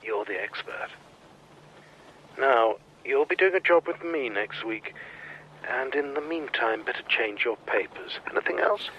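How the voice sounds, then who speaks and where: A terse intelligence boss in a radio thriller. He is mid-pitched, curt and unruffled, heard on a dull, band-limited line with faint noise. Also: expressive, measured pace, pushed and bright. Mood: curt, controlled. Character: terse, controlled male British spymaster boss. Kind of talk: radio drama acting.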